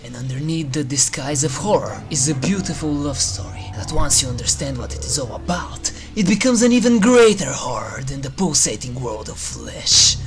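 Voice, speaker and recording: A narrator reading a scripted list review with drawn-out, theatrical phrasing and dry humour, as a video host. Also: mid-range voice. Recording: music under the voice. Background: eerie game soundtrack music.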